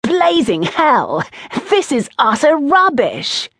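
A woman voicing a rude, mocking fifteen-year-old schoolboy in an audiobook story, loud and taunting. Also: fairly high pitch, big pitch swings, a little slow, dry recording, clean studio sound, pitched above the performer's own voice. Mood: gleeful.

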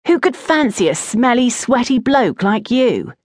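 A woman's voice: mid-range voice, animated, measured pace, dry recording, pitched above the performer's own voice. A woman voicing a teasing, scornful teenage schoolboy with a dry, needling manner in an audiobook.

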